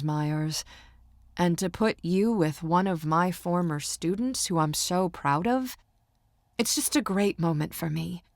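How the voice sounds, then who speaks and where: A woman's voice: lowish voice, no room sound, clean studio sound, lightly acted. A woman voicing a flustered, gushing art teacher, nervous and apologetic, in an audiobook novel. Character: excited, flustered older female art teacher, apologetic fan.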